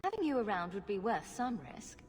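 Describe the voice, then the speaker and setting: A woman's voice: mid-range voice, big pitch swings, a little fast, a little mellow, lightly acted. A woman playing a sarcastic rogue adventurer in a video game, with a wry, flippant way of talking. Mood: wry, reassuring. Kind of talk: video game character acting. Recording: reverberant hall, music behind.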